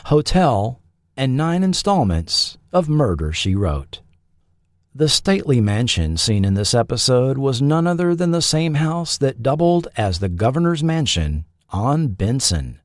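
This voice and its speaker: A man hosting and narrating a scripted documentary podcast, with a measured delivery and dramatic pauses. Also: medium pitch, a little mellow, no room sound, studio quality.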